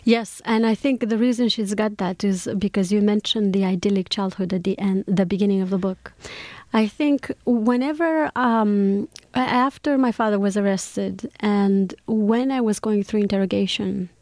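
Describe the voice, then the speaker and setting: A woman with a Romanian accent talks about her life as a poet in an interview. She is reflective and often pauses with fillers and restarts. Her voice is mellow and warm, recorded close and dry. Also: medium pitch, steady tone, no echo. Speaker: poet and memoir author, guest. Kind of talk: conversational interview.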